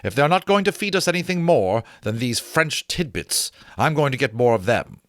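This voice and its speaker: A man's voice: medium pitch, very animated, moderate pace, a bit bright, dry recording, studio quality, voice pushed up. A man voicing a jovial, grumbling, hungry officer in a storybook reading. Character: hearty, hungry male aviator officer, storybook.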